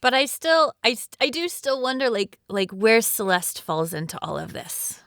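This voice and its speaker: A woman's voice: fairly high pitch, very animated, normal speed, a bit bright, dry recording, clean studio sound. A woman chatting casually as a member of a podcast panel. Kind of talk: casual chat.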